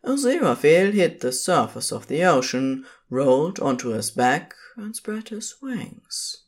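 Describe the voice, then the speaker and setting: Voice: mid-range voice, sing-song, a little slow. A narrator reading scripted fiction for an audio drama.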